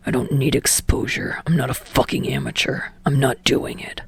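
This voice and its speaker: A woman voicing an irritable, guarded young man in an audiobook story, with a low raspy growl and a terse, grumbling manner. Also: sing-song, moderate pace, no echo, heavily acted. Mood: irritated. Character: gruff, irritable young male actor, foul-mouthed, guarded.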